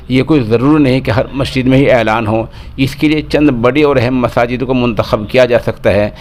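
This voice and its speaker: A man reading a scripted news report as presenter, in formal Urdu diction. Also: medium pitch. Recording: clearly noisy recording with ambient sound.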